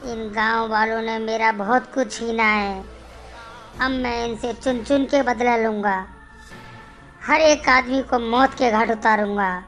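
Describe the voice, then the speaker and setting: A woman voicing a menacing, boastful male blood-drinking sorcerer in an animated horror story. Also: on the high side, faint music behind. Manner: slow, boastful, threatening.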